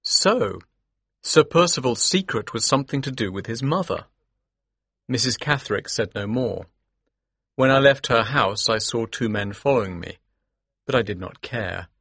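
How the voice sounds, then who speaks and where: An actor playing an earnest, determined gentleman who tells a Victorian mystery in the first person, with a husky voice. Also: medium pitch, expressive, moderate pace, no echo, exaggerated voice. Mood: measured narration. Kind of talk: scripted first-person audiobook narration.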